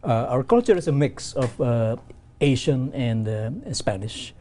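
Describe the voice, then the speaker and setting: A man from the Philippines, a guest on an interview show, explaining in halting talk with many restarts. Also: medium pitch, expressive, dark tone. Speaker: event representative guest.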